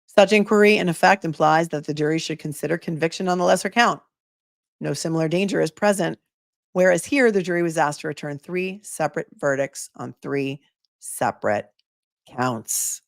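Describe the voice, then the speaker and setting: A hoarse woman with a lowish voice reads a legal brief aloud in a measured, emphatic way. She is an attorney who hosts a channel. The sound is dry and close. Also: no echo. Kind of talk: reading a legal brief aloud, then casual chat.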